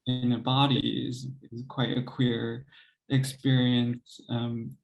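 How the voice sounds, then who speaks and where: A young man and composer, speaking thoughtfully in an interview, hesitant with many pauses and soft laughs. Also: mid-range voice, little pitch movement, gravelly, dull audio. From United States. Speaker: composer and pianist guest. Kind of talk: reflective interview conversation.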